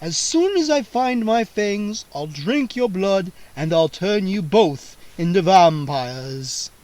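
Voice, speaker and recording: A man voicing an old male vampire count in an audiobook, with a slow, drawn-out menacing delivery. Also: sits high, lively intonation, darkish tone. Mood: weary, soft. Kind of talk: audiobook character voice.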